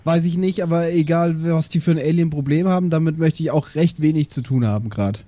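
A man's voice: on the high side, fairly quick, dark tone, dry recording, close to an everyday voice. A man playing a weary, placating father, hesitant and mildly exasperated, in an improvised audio play. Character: weary, placating dad of an excitable son.

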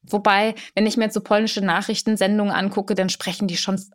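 A woman hosting a casual talk podcast, speaking in an easy, conversational way. Her voice sits at an ordinary middle pitch for a woman. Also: fairly quick. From Germany. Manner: relaxed, conversational, with fillers like "ne?". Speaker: podcast host. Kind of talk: casual interview chat.

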